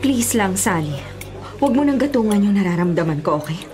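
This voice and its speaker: A woman's voice: mid-range voice, expressive, fairly quick, darkish tone, faint music behind, close to an everyday voice. An actress with a Filipino accent playing a guarded, bitter young woman who is secretly smitten, in a dramatic radio drama style. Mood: anxious, resisting.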